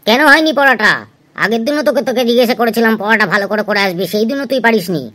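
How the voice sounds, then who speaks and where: A woman's voice: fairly high pitch, quick pace, a bit bright. A woman playing a stern, exasperated schoolteacher who scolds and lectures pupils in an amateur cartoon dub.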